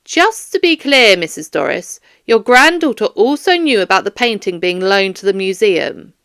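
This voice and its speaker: A woman voicing a stern police detective in an audiobook, questioning a suspect in a firm, formal, measured way. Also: medium pitch, expressive, fairly bright tone, smooth, no room sound, clean studio sound, pitched below the performer's own voice. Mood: firm, official.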